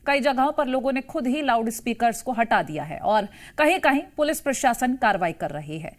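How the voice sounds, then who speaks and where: High-pitched Indian woman anchoring TV news. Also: animated, brisk.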